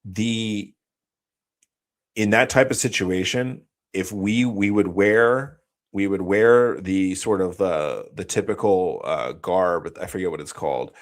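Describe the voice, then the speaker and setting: Low-voiced man from the US, former operator, laid-back storyteller in a live interview. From United States. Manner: slow, explanatory storytelling with frequent self-corrections. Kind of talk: casual podcast interview.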